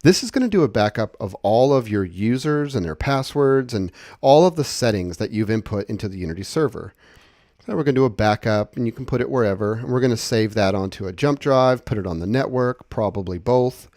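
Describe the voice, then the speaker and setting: A man giving a casual, conversational software walkthrough, explaining things step by step. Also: medium pitch, animated, fairly quick, no echo, studio quality. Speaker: software tutorial presenter.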